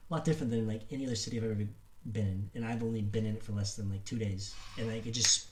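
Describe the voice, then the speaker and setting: A man's voice: fairly low pitch, steady tone, rapid-fire. A man hosting a casual podcast conversation, talking informally and repeating himself, with "like" sprinkled through his sentences. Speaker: podcast host. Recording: roomy, echoing sound.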